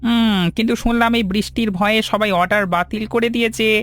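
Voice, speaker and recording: A man's voice: sits high, steady tone, fast talker, fairly bright tone, no room sound. A man voicing a sympathetic, reassuring village milkman with a gossipy manner in a cartoon story. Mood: relaying news.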